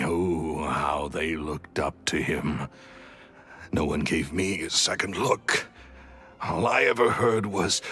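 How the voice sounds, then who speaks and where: A man's voice: low voice, gravelly, sharp tone, full character voice. An actor playing a resentful male magician's apprentice turned thief, confessing in a brooding, bitter way in a video game. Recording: faint music behind.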